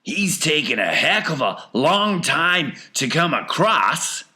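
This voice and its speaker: A man voicing an irritable, worried father in a storybook, blunt and sharp. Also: on the high side, very animated, slow, voice pushed up, heavily acted. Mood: sharp, exasperated. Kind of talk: storybook character reading.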